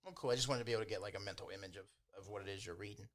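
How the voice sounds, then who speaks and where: A man acting as game master, improvising tabletop game narration in an informal, hedging, unhurried way. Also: fairly low pitch, fairly bright tone.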